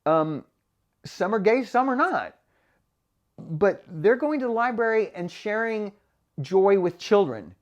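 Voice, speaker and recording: A man's voice: sits high, big pitch swings, slow pace, pauses often, muffled recording. A man, a voice actor, talking frankly in a casual vlog monologue, laughing often. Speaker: voice actor and vlogger.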